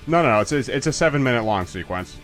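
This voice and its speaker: A man's voice: mid-range voice, animated, fast talker, a bit bright, faint music behind. A man casually chatting and joking as a commentator while a game's credits roll. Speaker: let's play commentator. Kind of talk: casual game commentary chat.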